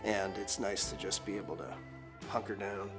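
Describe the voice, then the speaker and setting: A man in his forties, a recording studio owner, talking in a relaxed, rambling way with many fillers in a documentary interview. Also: lowish voice, moderate pace, over loud music. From United States.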